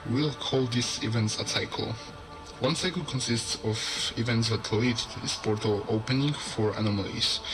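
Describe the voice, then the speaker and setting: A man playing a sober narrator who lays out an ominous theory in a measured, expository horror narration. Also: on the low side, lightly acted, low-quality recording. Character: male narrator soberly presenting a theory of apocalyptic anomalies. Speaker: narrator of an analog horror video. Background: background music.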